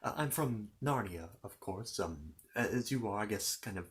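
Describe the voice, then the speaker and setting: A man's voice: on the low side, animated, lightly acted. A man playing a polite, bumbling, bookish fantasy knight in an ASMR roleplay, stammering and apologetic as he chats. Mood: welcoming, apologetic. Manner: halting, stammering, apologetic, chatty. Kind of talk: improvised ASMR character roleplay.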